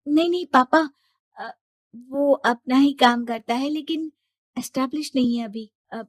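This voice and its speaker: A woman's voice: high-pitched, measured pace, dry recording, voice pushed up. A woman voicing an earnest, stubborn young woman in love, pleading and insistent, in a cartoon story.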